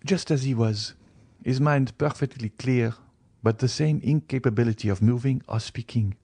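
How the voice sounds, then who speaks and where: A man reading an audiobook, voicing an anxious, gentle young noblewoman in an earnest, pleading manner. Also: mid-range voice, normal speed, husky, no echo, pitched above the performer's own voice.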